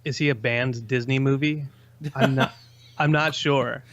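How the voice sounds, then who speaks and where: A man from Southern California, a podcast guest speaking in playful, deadpan comic banter. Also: mid-range voice, unhurried. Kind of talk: comic banter with tongue-in-cheek tall tales. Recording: slightly dull, lo-fi audio.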